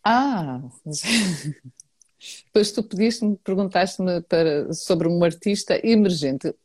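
A woman with a European Portuguese accent talks casually as a guest, often circling back and repeating herself mid-sentence. Her voice sits low and sounds warm and mellow. The recording is dry and close. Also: slow, husky, dry recording. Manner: hesitant, repeats words, many restarts. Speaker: singer and guest. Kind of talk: casual conversation.

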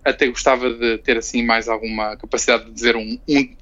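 A young man talks in a loose, rambling way, restarting phrases and tacking on tag questions. He speaks fast, and the recording sounds dull and lo-fi. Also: medium pitch, little pitch movement, bright, forceful tone. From Portugal. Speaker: interviewee, Portuguese living in Barcelona. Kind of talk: casual interview conversation.

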